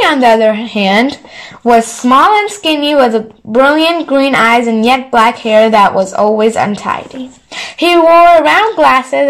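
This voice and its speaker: A woman with a somewhat high-pitched voice reads a story aloud for bedtime listeners. She delivers scripted narration as an audiobook reader. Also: poor audio.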